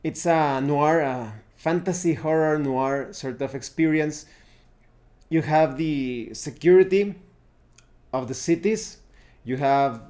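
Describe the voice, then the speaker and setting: A man presenting an unscripted game review, explaining things deliberately and often pausing mid-sentence. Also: a little high, darkish tone. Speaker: tabletop RPG reviewer, presenter.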